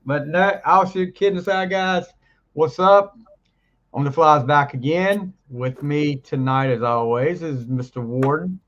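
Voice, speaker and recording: A man co-hosting a casual podcast, rambling and laughing, his voice deeper than usual after the flu. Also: unhurried, fairly bright tone, highs cut off. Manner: rambling, laughs often, trails off mid-sentence. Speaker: podcast co-host. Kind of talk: casual chat.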